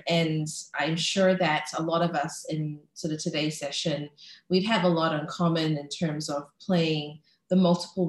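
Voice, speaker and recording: A woman's voice: deep voice, roomy sound, rough recording. A pregnant woman in her thirties, from Malaysia and raised in New Zealand, giving a chatty talk as a guest speaker. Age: 30s. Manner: chatty, many ums and restarts. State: heavily pregnant. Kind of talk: casual talk on a video call.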